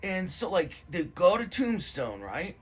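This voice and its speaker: A man giving a rambling, casual comedic movie recap, slangy and profane, excitable and meandering in his storytelling. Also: high voice, measured pace, slightly rough.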